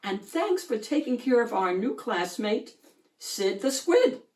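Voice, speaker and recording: A woman voicing a patient, gently firm female sea-creature teacher in a storybook, measured, instructive and encouraging. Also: a little high, big pitch swings, reverberant, distant mic. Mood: approving.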